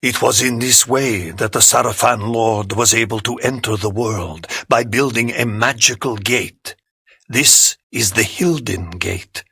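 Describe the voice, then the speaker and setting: An actor playing an ancient, grave and wise otherworldly sage in a dramatic video game, speaking formally and portentously. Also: male voice, medium pitch, a little slow, gravelly, pushed and bright. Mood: solemn, explanatory.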